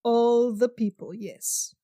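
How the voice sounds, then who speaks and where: A woman with a slightly high voice talks as a tabletop game player. She casually describes what her character does, recorded dry without room sound. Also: fairly even intonation, slow pace, mellow, no echo. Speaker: player in a tabletop roleplaying podcast.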